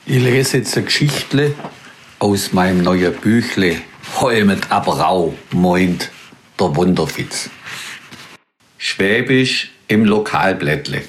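A middle-aged man with a Swabian accent, reading his own humorous dialect column aloud in an unhurried, wry storytelling manner. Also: low voice, expressive, slight background noise. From Swabia, Germany.

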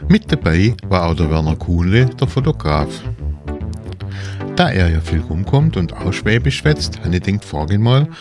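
A man's voice: deep voice, big pitch swings, moderate pace. A man introducing a radio programme as its host, in a scripted presenting style. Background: prominent music.

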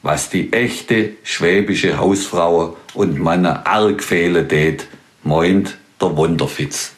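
Slow Swabian man reading his column. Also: low voice. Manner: slow, homely storytelling in dialect. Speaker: dialect radio reader.

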